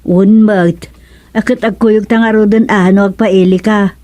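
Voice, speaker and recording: An actress plays a practical, curious village woman in an Ilocano radio comedy. She has an Ilocano accent and a mid-range pitch, recorded dry with little room sound. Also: fairly even intonation, measured pace, dark tone, dry recording, close to an everyday voice. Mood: inquisitive.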